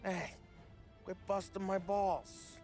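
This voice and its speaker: A man's voice: high-pitched, takes it slowly, soft background music. An actor playing a restless, wisecracking mob crewman who talks in a brash, defensive way. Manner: brash, defensive, hot-headed. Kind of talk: video game cutscene acting. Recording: game cutscene with loud score.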